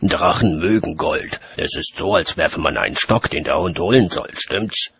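A man playing a grumpy, sardonic, weary city watchman in an audiobook story, gruff and dry in manner. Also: low-pitched, normal speed, fairly bright tone. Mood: wry.

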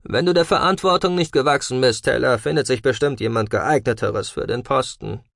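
A man's voice: medium pitch, brisk, vocal fry, fairly bright tone, no room sound, studio quality. A man voicing a stern, cruel starship commander in a science fiction audiobook, commanding and menacing. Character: stern male starship commander, cruel, possessed by an alien force.

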